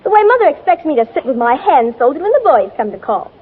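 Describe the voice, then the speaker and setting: An actress playing a headstrong, slangy fifteen-year-old tomboy in an earnest, gushing 1940s radio drama style. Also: female voice, sits high, very animated, quick pace, narrow, tinny audio. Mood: earnest, defiant.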